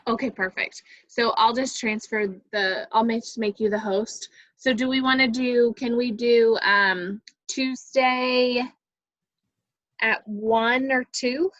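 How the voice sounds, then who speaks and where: Female coordinator, very bright and fairly high voice, hesitant meeting talk, slightly muffled call audio. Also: fairly even intonation, slow. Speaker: activities coordinator scheduling rehearsals. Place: remote video call.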